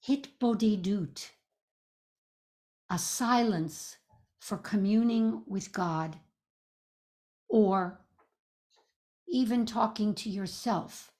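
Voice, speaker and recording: Woman, meditation teacher, very slow pace, medium pitch, gentle and soothing, measured pauses. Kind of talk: guided meditation instruction.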